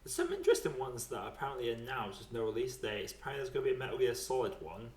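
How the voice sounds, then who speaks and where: A man's voice: mid-range voice, big pitch swings, very fast, a little mellow. A man hosting a podcast, chatting casually and informally about films and games, with some swearing. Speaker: podcast host. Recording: echoey room sound.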